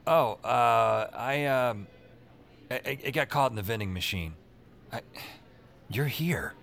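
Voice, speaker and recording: An actor playing a wry, beleaguered emergency call operator who narrates his own story in a deadpan way in an audio drama. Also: medium pitch, slow pace, creaky. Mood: flustered. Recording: music behind the voice. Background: music.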